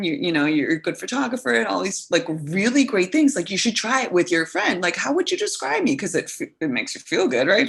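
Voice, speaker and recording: A woman's voice: fairly low pitch, vocal fry, fairly bright tone. A woman in her thirties, a guest coach talking earnestly and animatedly in a casual podcast conversation, full of fillers.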